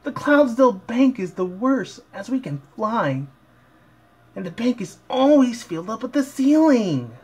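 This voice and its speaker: A man voicing a brash, boastful young female cartoon pegasus pony with drawn-out delivery. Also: very high voice, sing-song, breathy edge, pitched above the performer's own voice, heavily acted.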